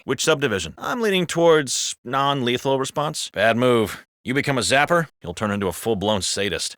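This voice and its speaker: An actor playing a jaded, foul-mouthed, sardonic police officer in a video game. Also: male voice, mid-range voice, sharp tone, no echo, clean studio sound. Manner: gossipy, sardonic, swearing. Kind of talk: scripted video game voice acting.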